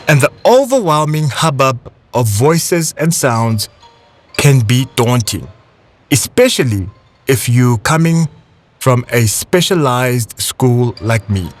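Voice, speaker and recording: A South African man hosts and narrates in an unhurried, carefully paced way. His pitch moves a lot as he speaks. Soft music plays underneath. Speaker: podcast host. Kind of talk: scripted narration.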